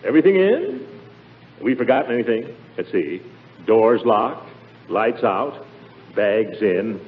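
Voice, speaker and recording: Exasperated middle-aged uncle, very animated pitch, self-pitying bluster, noisy muffled 1940s radio recording. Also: male voice, measured pace. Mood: fussy. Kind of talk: 1940s radio comedy acting.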